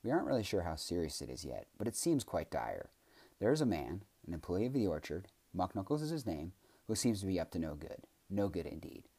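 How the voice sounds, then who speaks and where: A man's voice: low-pitched, very animated, fairly quick, warm tone, full character voice. A man voicing a thoughtful young female tree who speaks in earnest, formal, wordy sentences.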